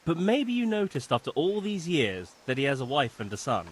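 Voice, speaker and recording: A man's voice: on the high side, huge pitch swings, moderate pace. A man playing a mischievous young ringleader, sly and casually coaxing, in a video game cutscene. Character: cocky young troublemaker, mischievous ringleader among friends.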